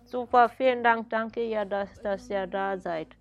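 A woman hosting a radio interview, warmly enthusiastic and hesitant, with many fillers. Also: mid-range voice, steady tone, unhurried, muffled recording, faint music behind. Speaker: radio show host.

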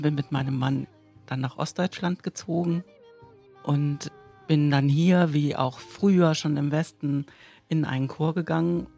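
A woman in her late fifties from Germany, telling her personal story candidly and with animation, with soft music underneath. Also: low-pitched. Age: 50s. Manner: candid and lively, with laughs. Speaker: interviewee.

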